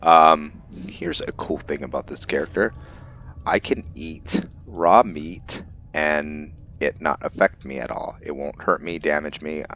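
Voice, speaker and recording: A man's voice: extremely low pitch, unhurried, fairly bright tone, dull audio. A man hosting a gaming video, giving casual roleplay commentary in a musing, thinking-aloud manner.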